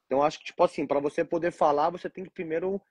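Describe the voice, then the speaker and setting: A man in his forties with a Paulista accent speaks as a guest over a video call. He sounds relaxed and drops filler phrases between thoughts. His voice is a little husky and slightly high, and the audio is dry and close. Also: rapid-fire, no room sound. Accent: Paulista Brazilian Portuguese. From Brazil, São Paulo. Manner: relaxed, fills with "tipo assim". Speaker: guest, capoeira teacher abroad. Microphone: video call from abroad.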